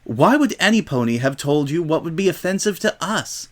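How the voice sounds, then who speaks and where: A man's voice: fairly high pitch, big pitch swings, normal speed. Guy doing a fancy lady unicorn, prim and proper, lightly raised voice, cartoon story. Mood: prim, exasperated. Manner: prim, formal, precise phrasing. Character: refined, genteel female unicorn lady.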